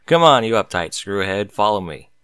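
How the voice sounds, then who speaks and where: A man's voice: on the low side, very animated, a bit bright, smooth, no room sound, pitched below the performer's own voice. A man voicing a brash, protective male pegasus pony in a story reading, speaking bluntly. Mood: amused, bold. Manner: blunt, slow-spoken.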